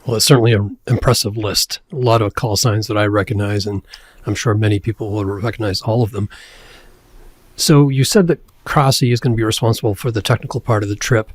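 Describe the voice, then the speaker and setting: A man's voice: lowish voice, fairly even intonation, brisk, dry recording. A man hosting an interview, putting questions to his guest and responding to the answers. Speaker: interviewer, podcast host.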